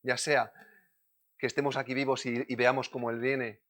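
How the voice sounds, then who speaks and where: A man from Madrid with a Madrid Spanish accent, preaching a sermon, moving between loud urgent points and quiet, close asides. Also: mid-range voice, flat delivery, normal speed. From Spain.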